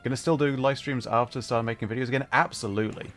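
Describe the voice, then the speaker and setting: A man's voice: mid-range voice, steady tone, quick pace, fairly bright tone, faint music behind. A man hosting a casual livestream, rambling thoughtfully as he plays and answers chat, with an easy tendency to chuckle. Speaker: livestreamer and host.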